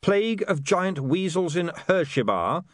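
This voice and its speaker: Here is a shifty middle-aged salesman from a comic storybook, acted out by a man. He wheedles and excuses himself in a matey way. His pitch rides high and jumps about, in a very dry recording. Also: very animated, measured pace, no room sound, full character voice. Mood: wheedling. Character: shifty, cheerfully unscrupulous middle-aged male street huckster.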